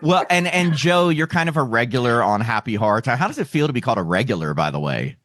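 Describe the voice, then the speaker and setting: A man's voice: mid-range voice, very animated, quick pace, fairly bright tone, dry recording. A man hosting a horror podcast, reading intros and teasing his guests in a playful, chatty way. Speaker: podcast host.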